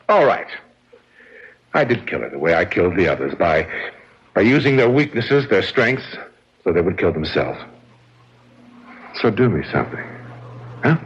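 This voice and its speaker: A dull, lo-fi old radio recording of a defiant murderer. The voice is deep and badly roughened, scornful and blustering, that of a cornered man who still brags. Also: full character voice. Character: arrogant, cold-blooded male murderer, cornered and defiant. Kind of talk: old radio drama acting.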